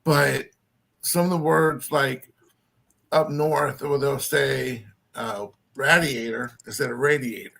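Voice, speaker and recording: Gravelly, bright-voiced man telling stories. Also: mid-range voice, steady tone, slow. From born in Maryland, grew up in Louisiana. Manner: rambling, self-correcting storyteller. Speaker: chat participant. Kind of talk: casual storytelling chat.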